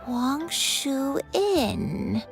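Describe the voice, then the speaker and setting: A gentle old granny for a video game, talks slowly in a fairly high voice. She is soft and wistful, hesitating as if searching her memory. Music plays behind her. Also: sing-song, gravelly, full character voice. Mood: wistful, musing. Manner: slow, hesitant, reminiscing. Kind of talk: video game character acting.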